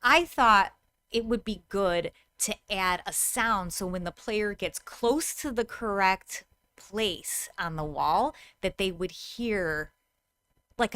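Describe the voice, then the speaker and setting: A woman's voice: mid-range voice, bright, forceful tone, clean tone, no room sound. A woman in her thirties giving a tutorial to camera in a conversational, explanatory manner. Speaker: host, VR game designer.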